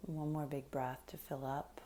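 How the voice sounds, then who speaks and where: A woman leading a guided meditation in a soft, breathy, unhurried voice that often nears a whisper, giving gentle, soothing instructions. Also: a bass voice, flat delivery, noisy background.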